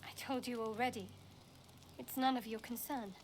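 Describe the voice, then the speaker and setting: Actress as a guarded, higher-voiced young priestess. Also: female voice, fairly even intonation, a little hiss or hum, natural voice. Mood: guarded, wry. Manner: measured, cool, guarded. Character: guarded, devout young woman cleric of a dark goddess. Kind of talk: video game dialogue acting.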